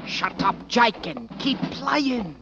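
A cocky Cockney lad of the army band with a very animated, swinging delivery. He is bold and brash, in old-time radio drama style on a dull vintage recording. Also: mid-range voice, slow pace, creaky, music in the background. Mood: bossy. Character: bold cocky young British army fifer boy.

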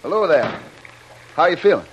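An actor playing a bold, practical sailor with brusque rustic speech in an old-time radio adventure. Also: male voice, fairly high pitch, very animated, normal speed, highs cut off. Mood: friendly. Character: plain-spoken, bold, practical sailor on night watch. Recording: noisy old radio drama recording.

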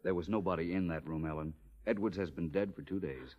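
An actor playing a suave, self-assured detective in 1940s radio drama style, with a smooth, wry, deliberate delivery. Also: male voice, deep voice, close to an everyday voice. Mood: calmly explaining. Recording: muffled old 1940s radio recording.

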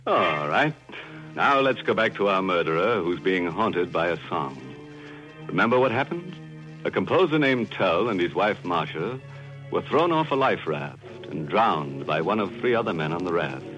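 A male announcer recapping a radio mystery story in measured, storytelling delivery. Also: low voice, sing-song, a bit bright. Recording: muffled old radio broadcast with music.

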